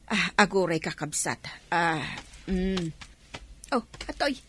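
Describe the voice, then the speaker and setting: A woman's voice: on the low side, expressive, slow pace, a bit bright, slight background noise. A woman with an Ilocano accent playing a long-suffering village mother who admonishes her grown daughter in a radio drama. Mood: apologetic, weary. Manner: admonishing, exasperated.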